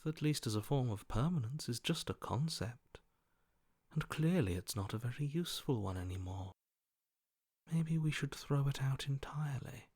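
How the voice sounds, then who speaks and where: A cold, amoral male voice in someone's head for a video game. He speaks slowly at a middling pitch, with detached, matter-of-fact calm and a dry sardonic edge. The sound is close and dry, with no room around it. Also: husky, no echo. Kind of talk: video game character acting.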